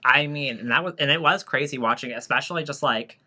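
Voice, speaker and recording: A man's voice: mid-range voice, quick pace, sharp tone. A young man co-hosting a podcast, retelling scenes in a loose, rambling way. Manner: rambling, many fillers and restarts while retelling. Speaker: podcast co-host. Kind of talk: casual chat.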